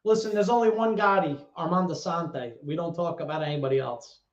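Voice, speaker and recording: A man's voice: fairly high pitch, a loud crowd. A man, telling stories as a guest in a casual interview chat. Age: 30s. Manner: animated storyteller with quick asides. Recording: echoey lo-fi call audio.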